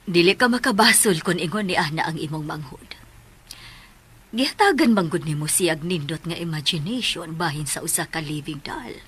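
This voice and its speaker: A woman playing a grave, reproachful older mother in a radio drama, with a breathy voice and a Filipino accent. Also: sits low, moderate pace, a bit bright. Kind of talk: Cebuano radio drama acting.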